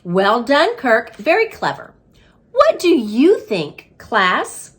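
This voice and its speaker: A woman voicing a brisk female schoolteacher in a storybook, firm but encouraging as she instructs children. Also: a little high, wildly swooping pitch, full character voice.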